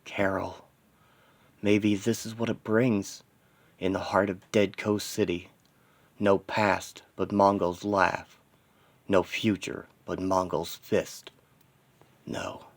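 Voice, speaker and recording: A man's voice: deep voice, flat delivery, slow pace, pauses often. A man voicing a grieving, vengeful superhero in terse, dramatic inner monologue for a comic-book story. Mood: pained, desperate. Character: grieving, vengeful male superhero, grimly determined and anguished.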